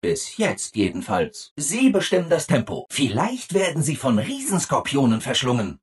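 Wildly animated, chipper robot helper. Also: male voice, mid-range voice, normal speed, husky, full character voice. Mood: cheerfully helpful. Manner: exaggeratedly upbeat and obliging. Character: relentlessly cheerful, eager-to-please male robot assistant. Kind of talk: video game character acting.

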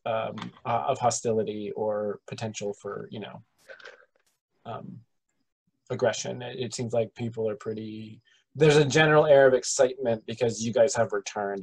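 A man of middle pitch narrates as game master over a video call. He sets the scene in a halting way, with many pauses and restarts. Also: breathy edge, low-quality recording. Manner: hesitant, full of ums and restarts.

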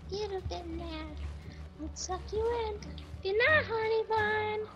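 A performer voicing a creepy, doting and bossy old grandmother in a video game roleplay, cooing with drawn-out endearments. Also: very high voice, dull audio. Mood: cloying, bossy.

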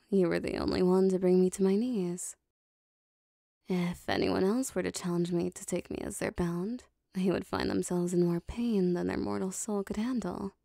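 A woman playing a powerful, possessive female demon devoted to her master, speaking formally and deliberately in an ASMR roleplay. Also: medium pitch, gravelly, dark tone, no echo, clean studio sound, lightly acted. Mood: content, devoted. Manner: formal, deliberate, intimate phrasing.

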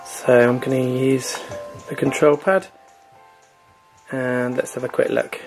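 A man's voice: medium pitch, slow, soft background music. A man hosting a gaming channel, chatting casually with many fillers as he walks through a console's online store. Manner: relaxed, filler-heavy, chatty. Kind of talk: casual screen walkthrough.

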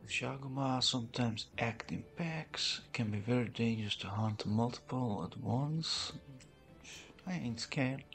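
A man's voice: mid-range voice, unhurried, creaky, fairly bright tone, soft background music. A man hosting a gaming video, reacting casually in a laid-back, slangy way.

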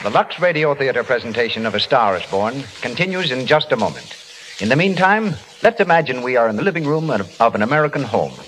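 Brisk 1930s male radio announcer. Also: mid-range voice, lively intonation. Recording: crackly old radio broadcast.

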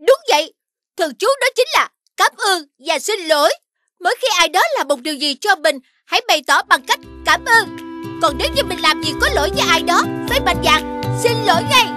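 A friendly little turtle child, talks in a very high cartoon voice. The delivery is confident and instructive, in a southern Vietnamese accent, with music clearly behind. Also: female voice, lively intonation, fairly quick.

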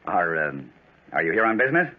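An actor playing a talkative, glad-handing small-town editor in a 1940s radio play. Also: male voice, low voice, big pitch swings, brisk, gravelly, exaggerated voice. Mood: eager, courteous. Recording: tinny old radio recording.

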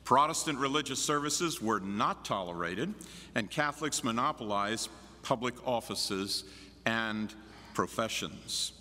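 A man's voice: mid-range voice, lively intonation, unhurried, sharp tone. Older North American man lecturing in echoing hall. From United States, Washington DC. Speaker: visiting academic speaker. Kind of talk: formal lecture read from manuscript. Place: large church chapel, at lectern. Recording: echoey large chapel, long reverb.

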